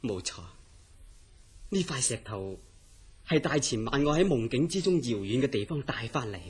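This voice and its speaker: An actor playing an earnest, mysterious scientist in a horror radio drama, telling his story in a serious, deliberate way. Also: mid-range voice, animated, lightly acted. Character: earnest, mysterious scientist recounting uncanny dreams. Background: background music.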